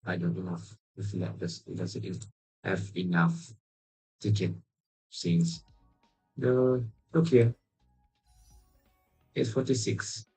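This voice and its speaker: A man giving casual gameplay commentary in a halting, mumbled way. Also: low-pitched, creaky, warm tone, breathy edge, faint music behind, rough recording. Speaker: game streamer.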